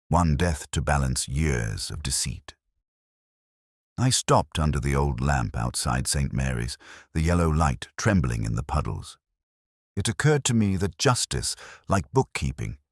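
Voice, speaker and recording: Really deep male narrator reading a detective story, brooding and measured, pitch moves around a lot, dry close sound. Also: breathy edge, dry recording. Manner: measured, literary, brooding delivery. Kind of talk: scripted first-person detective story narration.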